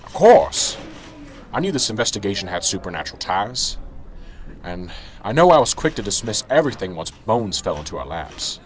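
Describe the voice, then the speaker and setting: A man's voice: on the low side, big pitch swings, normal speed, a little hiss or hum. A man playing a middle-aged, corrupt small-town police sergeant in an amateur radio drama. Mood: defensive. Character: corrupt small-town police sergeant, chummy until cornered, then indignant.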